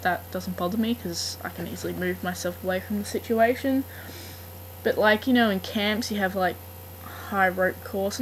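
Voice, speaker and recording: Woman, Australian accent, hesitant casual rambling, lots of ums, noisy home recording. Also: mid-range voice. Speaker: artist answering fan questions. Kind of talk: casual voice meme monologue. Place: home room. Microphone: built-in laptop mic.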